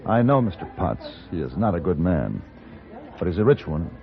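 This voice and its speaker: A low, animated male voice on a dull vintage radio recording, a gentleman gunslinger of the Old West. He is terse, dry and commanding, sure of himself in every line. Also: normal speed, creaky, full character voice. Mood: brisk, wry. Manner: terse, self-assured, dry. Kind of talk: old-time radio western acting. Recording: muffled old radio broadcast with music.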